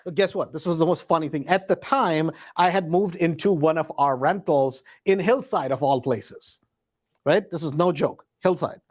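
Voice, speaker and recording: A man with a high-pitched voice spins a personal anecdote in a casual, conversational style. He leans on emphatic repetition and slang, and acts out the back-and-forth dialogue as he goes. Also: moderate pace. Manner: animated retelling with acted dialogue, emphatic repetition. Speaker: host.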